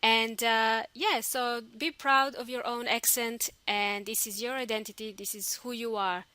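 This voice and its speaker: A woman's voice: on the high side, fairly even intonation, measured pace, bright, forceful tone, dry recording. A woman with a Spanish accent, an English teacher talking through a podcast lesson in a chatty, encouraging, unscripted way with fillers and restarts.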